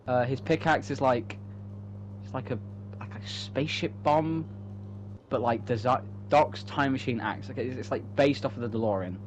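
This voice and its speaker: A man talks in a loose, unscripted way, rambling with keen enthusiasm and the odd swear word. His voice sits at an average pitch, with noticeable noise behind him. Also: moderate pace. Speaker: co-host. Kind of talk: casual unscripted chat. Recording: clearly noisy background, home-made recording.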